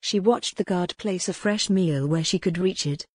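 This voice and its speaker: A woman narrating a historical romance audiobook in a measured, dramatic storytelling manner. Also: on the low side, a little mellow, husky, no room sound, clean studio sound.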